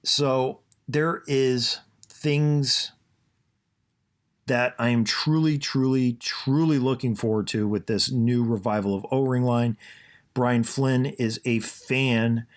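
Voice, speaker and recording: A man's voice: mid-range voice, steady tone, slow pace. A man hosting his own podcast, talking casually and rambling, with a soothing voice and plenty of fillers and restarts. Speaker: podcast host. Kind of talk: casual solo podcast monologue.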